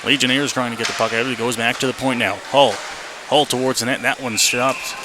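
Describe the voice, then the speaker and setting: Over the steady noise of a live arena feed, a man commentates ice hockey for radio. His voice sits at a medium pitch. He follows the play in clipped, continuous phrases, in the manner of a play-by-play announcer. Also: brisk. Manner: clipped running phrases, rising to excited calls on shots.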